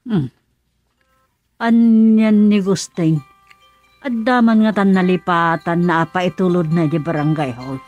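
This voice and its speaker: A woman's voice: lowish voice, unhurried, long pauses, lo-fi sound, faint music behind, lightly acted. An actress playing a practical, agreeable middle-aged village mother in a rural radio comedy drama. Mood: muttering.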